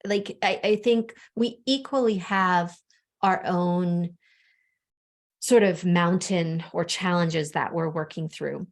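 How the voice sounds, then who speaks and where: Low-voiced woman from the Bahamas, earnest. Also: slow. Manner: open, earnest, frequent "like" fillers. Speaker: guest, spiritual coach. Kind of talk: candid interview conversation.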